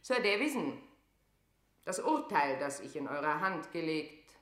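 A woman's voice: sits low, sing-song, a little slow, fairly bright tone, roomy sound, full character voice. An actress playing a proud, anxious English queen in a classical radio drama, with declamatory, commanding delivery. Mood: stern, demanding. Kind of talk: classical German stage-play radio acting.

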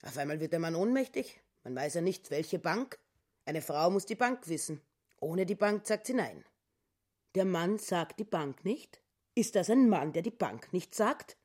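Nagging, low-voiced grasping wife. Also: female voice, animated, unhurried, darkish tone. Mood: insistent, scornful. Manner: nagging, sententious, repeats stock phrases. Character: grasping, stubborn, self-pitying wife in her fifties. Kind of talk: German radio play acting.

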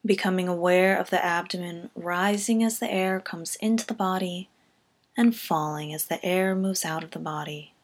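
Woman calmly guiding a meditation. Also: fairly low pitch. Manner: calm, gentle, measured delivery with soft phrase endings. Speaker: meditation guide. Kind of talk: scripted guided body scan meditation.

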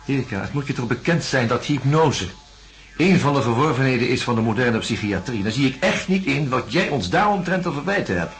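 An actor playing an insistent, placating psychiatrist in a radio drama, reasoning and coaxing in speech. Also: male voice, medium pitch, expressive, fairly quick, faint music behind, close to an everyday voice. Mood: defensive. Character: male psychiatrist, placating and insistent, defending his methods.

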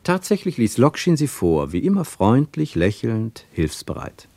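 Guy narrating a radio play, lowish voice, animated literary reading, dry studio sound. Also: unhurried, no echo.

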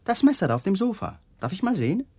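A menacing police lieutenant for a radio play. He has a rough, husky tone and an animated melody. He needles and taunts, pressing with sardonic questions. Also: high voice, fast talker, full character voice. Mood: probing, menacing. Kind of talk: radio drama acting.

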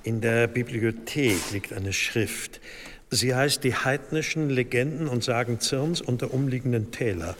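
A man's voice: mid-range voice, measured pace, a bit bright, natural voice. A man playing an authoritative monastery abbot in a radio play, formal, courteous and paternal in manner. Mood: commanding. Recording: long reverberant hall, some noise.

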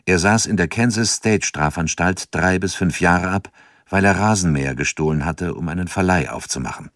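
Very deep, sober male narrator. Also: normal speed, no room sound, clean studio sound. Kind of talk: scripted narration.

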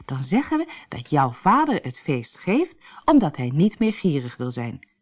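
Woman playing a cheeky young trickster lad, lively. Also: deep voice, moderate pace, heavily acted. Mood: earnest. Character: kind-hearted, cheeky young male trickster servant. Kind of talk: storybook character acting.

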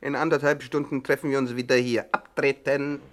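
Ein Schauspieler spielt einen herrischen, ungeduldigen Bandenchef in einer Krimikomödie im Radio, knapp, kommandierend und spöttisch. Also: male voice, medium pitch, level tone, a little fast, natural voice. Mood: commanding. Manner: commanding, curt, mocking. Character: gruff, bossy male gang boss, impatient and scornful. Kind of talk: 1960s German radio crime comedy acting.

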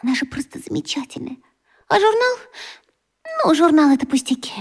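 A woman acting a warm-hearted, impulsive schoolgirl in a children's radio play, talking in an emphatic, colloquial way. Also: high voice, animated, brisk. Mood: rueful, amazed.

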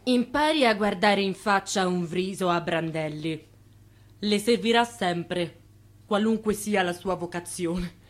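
An actress playing a devoted, unsentimental nurse with a dry, reserved manner in a radio play. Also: female voice, medium pitch, unhurried, lightly acted. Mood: firm, hushing.

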